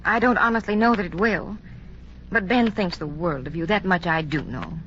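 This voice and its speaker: An actress playing a worried, gentle middle-aged mother in a 1950s radio drama, speaking hesitantly and earnestly. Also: lowish voice, moderate pace, natural voice. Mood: concerned. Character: worried, gentle widowed mother pleading for her son. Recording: muffled old radio recording, faint noise.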